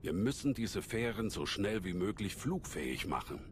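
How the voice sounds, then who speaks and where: A man playing a calm old alien Jedi master in a German game dub, measured and scholarly, with a deep gravelly voice. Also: background noise. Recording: sci-fi game ambience behind voice.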